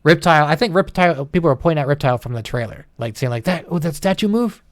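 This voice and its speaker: A man's voice: on the high side, lively intonation, fast talker, dry recording, studio quality. A man co-hosting a gaming show in casual chat, joking and swearing in an informal way. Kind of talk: casual chat, reacting to old film trailers.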